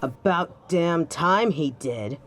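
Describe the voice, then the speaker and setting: A woman's voice: fairly low pitch, lively intonation, takes it slowly. A woman playing a cheeky, loyal young friend in an audio drama, with a casual manner. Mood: amused. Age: young adult.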